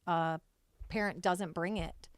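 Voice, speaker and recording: A woman's voice: fairly low pitch, fairly even intonation, takes it slowly, no echo. A woman in her forties from Michigan, earnestly answering interview questions and often agreeing with "right.". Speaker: school board candidate.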